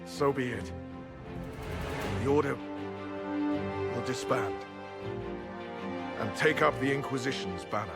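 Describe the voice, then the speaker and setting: An actor playing a grave, dutiful knight who speaks formally in a fantasy game. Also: male voice, medium pitch, slow, vocal fry, long pauses, loud music behind the voice. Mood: solemn, resolute. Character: solemn, dutiful male knight rallying a broken order. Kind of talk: dramatic fantasy game acting. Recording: prominent score behind dialogue.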